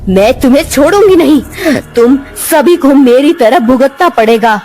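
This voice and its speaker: A woman's voice: high voice, animated, normal speed, dark tone, faint music behind, pitched above the performer's own voice. A woman voicing a cruel, vengeful female serpent spirit in a dubbed cartoon, taunting and threatening.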